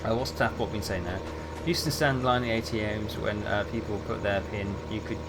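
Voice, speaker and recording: A man's voice: fairly low pitch, brisk, very noisy. A man who grew up in England chatting casually with viewers while he streams a game. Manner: relaxed, chatty, answers chat while playing.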